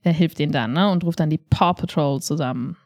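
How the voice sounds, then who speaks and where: Eine Frau in ihren Dreißigern spricht als Co-Moderatorin eines Podcasts locker, offen und nachdrücklich. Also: female voice, low-pitched, fairly quick, a little mellow, no room sound. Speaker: podcast co-host, improv performer. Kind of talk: casual conversational podcast talk.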